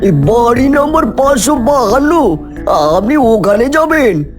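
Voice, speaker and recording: High, slow, suspicious tea seller acted by a man. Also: animated, faint music behind. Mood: wary, surprised. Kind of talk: Bengali horror cartoon voice acting.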